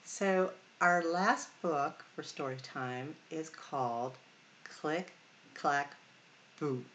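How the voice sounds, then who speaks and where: A middle-aged woman hosting a library storytime, reading picture books aloud in a deliberate, expressive way for young children. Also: deep voice, slight background noise. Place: small room at home or office, indoors.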